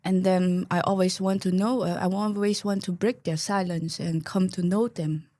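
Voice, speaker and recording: Lower-voiced Chinese woman, close dry sound. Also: fairly even intonation, normal speed, mellow, dry recording. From rural Taishan, southern China. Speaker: podcast guest, artistic researcher. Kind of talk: reflective conversational interview.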